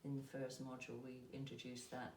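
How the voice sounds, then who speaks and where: A trauma healing trainer explaining the work calmly and asking probing questions. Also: mid-range voice, fairly quick, slight background noise. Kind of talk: conversational discussion. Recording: roomy sound from a distant mic.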